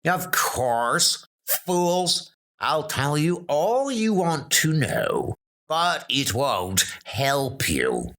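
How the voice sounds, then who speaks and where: A man's voice: on the high side, big pitch swings, drawn-out words, fairly bright tone, voice pushed up, full character voice. Sneering mole creature, a man's put-on voice. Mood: gloating. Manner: gloating, scornful. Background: music.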